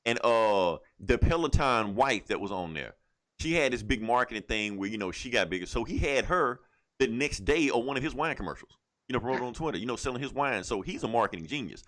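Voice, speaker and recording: A man with a medium-pitched voice speaks as a podcast co-host. He is opinionated and emphatic, posing rhetorical questions and restating phrases in loose, conversational language. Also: lively intonation, brisk, fairly bright tone. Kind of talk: casual opinionated pop culture podcast talk.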